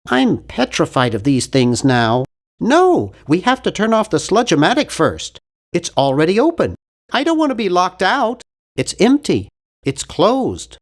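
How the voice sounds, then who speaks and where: An actor playing a nerdy, earnest, awkward young man in an adventure game, with a geeky, fussy, wryly polite delivery. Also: high voice. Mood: worried, scheming, then matter-of-fact, fussy. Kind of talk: scripted video game voice lines.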